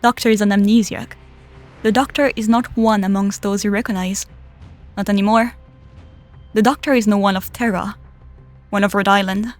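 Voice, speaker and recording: A woman's voice: mid-range voice, steady tone, moderate pace, long pauses, faint music behind, lightly acted. A woman voicing a firm, protective companion with formal speech in a game fan dub. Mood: firm, defensive.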